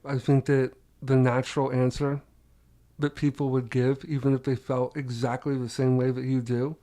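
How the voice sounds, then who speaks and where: A man hosting a podcast interview, with a voice of ordinary pitch. He is affirming and encouraging, thanks his guest for being honest, and guides the conversation with probing follow-up questions. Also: flat delivery, moderate pace, dark tone. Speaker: podcast host.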